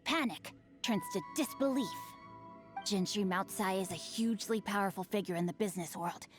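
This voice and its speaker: A woman's voice: mid-range voice, moderate pace, soft background music, lightly acted. A woman voicing a chivalrous young noble boy in a video game, formal, eloquent and composed. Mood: composed explaining. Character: bookish, chivalrous young noble boy, poised and quietly smug.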